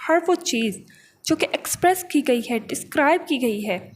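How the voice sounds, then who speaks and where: High-voiced Pakistani woman teaching law. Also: animated, normal speed. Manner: didactic, repeats and rephrases points, poses questions and answers them. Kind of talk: explanatory lecture mixing English legal terms, reading clauses and explaining them. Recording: noticeable background noise.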